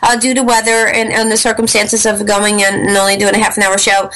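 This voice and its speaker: A woman's voice: medium pitch, completely flat delivery, a little fast, a bit bright, a little hiss or hum, rough recording. A woman hosting a live call-in psychic show, talking in a rambling, emphatic way with lots of fillers and blunt, casual swearing. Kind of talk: casual live online radio talk.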